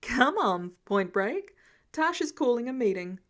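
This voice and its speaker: A commanding middle-aged billionaire inventor, played by a woman for an audiobook. He speaks briskly, with breezy, casual authority. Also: fairly high pitch, big pitch swings, fairly bright tone.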